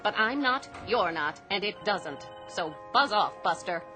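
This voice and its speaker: A no-nonsense female secretary in a radio drama, cutting and deadpan. Her mid-pitched, scornful voice sits over prominent music. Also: unhurried.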